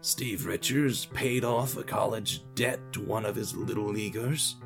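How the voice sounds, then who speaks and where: Radio drama part: male actor as old front-desk man, courteous and mild, very unhurried delivery, music underneath. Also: lowish voice, gravelly. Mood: mild, puzzled. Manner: slow, polite, unhurried. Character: rather old male newspaper receptionist, polite and mild.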